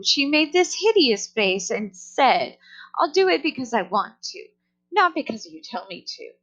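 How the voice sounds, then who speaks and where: A woman's voice: sits high, fairly bright tone, lo-fi sound, voice pushed up, full character voice. A woman voicing a worried, fussy storybook mother who frets and exclaims about her child. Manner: fretful, exclamatory.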